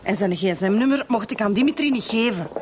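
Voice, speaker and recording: A woman's voice: on the high side, quick pace, darkish tone, slight background noise, lightly acted. An actress with a Flemish accent playing a brash, chatty, self-satisfied mother in a radio drama, colloquial and cheeky. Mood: cheeky, proud.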